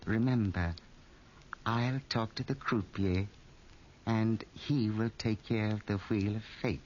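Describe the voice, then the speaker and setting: Slow, menacing crime boss. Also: male voice, lowish voice, fairly even intonation, darkish tone. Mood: scornful menace. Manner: slow, condescending, threatening. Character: menacing, scornful male crime boss, smooth schemer. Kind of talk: 1940s radio drama acting. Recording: muffled old radio recording, faint noise.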